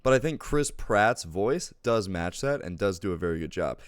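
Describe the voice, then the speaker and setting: A man's voice: on the low side, expressive, normal speed, clean tone, no room sound, clean studio sound. A man chatting casually as a film reviewer, enthusiastic and peppering his talk with "dude". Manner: enthusiastic, says dude often. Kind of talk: casual chat movie review.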